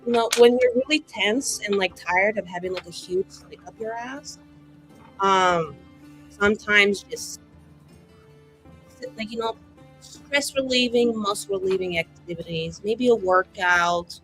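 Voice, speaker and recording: A woman's voice: medium pitch, measured pace, fairly bright tone, faint music behind, natural voice, rough recording. A woman playing a cheeky, catty young woman in a tabletop game, with casual, sarcastic delivery. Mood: mock-innocent needling. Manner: casual, filler-laden, sarcastic. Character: cheeky, catty young woman, falsely sweet, needling a rival.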